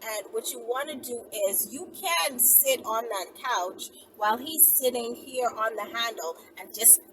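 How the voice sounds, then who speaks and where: A woman in her thirties talks casually in an instructional vlog, with a high, animated voice. She explains conversationally and often stops to check in. The mic sounds distant, and there is loud vehicle noise around her. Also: unhurried. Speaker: vlogger giving instructions. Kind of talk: casual instructional talk to camera. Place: inside a car, daytime. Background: vehicle ambience.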